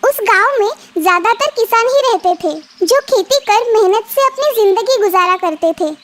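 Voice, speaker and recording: A woman's voice: very high-pitched, expressive, normal speed. A woman narrating a scripted children's story. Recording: loud children's music behind the voice.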